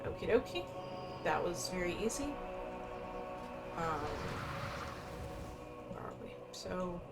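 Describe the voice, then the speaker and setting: A woman's voice: lowish voice, big pitch swings, slow, vocal fry. A woman giving casual gameplay commentary as a streamer, chatty and thinking aloud. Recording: loud game music behind voice. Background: video game music.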